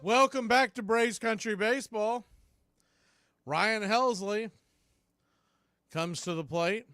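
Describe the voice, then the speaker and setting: High-pitched American baseball play-by-play man. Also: takes it slowly, bright, forceful tone. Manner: partisan, folksy home-team commentary. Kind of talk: live radio baseball commentary.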